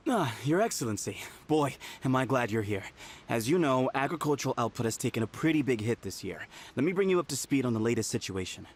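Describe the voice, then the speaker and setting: A worried farmer, voiced by a man, rushes his words in a hurried, relieved voice. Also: mid-range voice, expressive, lightly acted. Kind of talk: video game voice acting.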